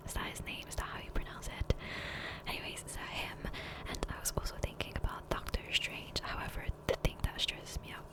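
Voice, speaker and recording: A woman speaking in a soft, breathy whisper close to the microphone, rambling casually and self-deprecatingly as an ASMR gaming creator. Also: rough recording. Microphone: close USB condenser microphone.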